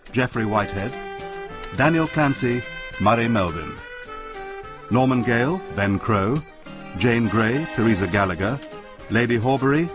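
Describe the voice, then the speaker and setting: Guy announcing radio credits, formal, medium pitch, music clearly behind him.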